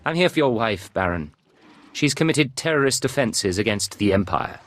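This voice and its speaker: An actor playing a resolute secret agent in a video game, controlled, terse and businesslike. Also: male voice, fairly low pitch, expressive, moderate pace, fairly bright tone. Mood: cool, firm. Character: cool, resolute male imperial secret agent.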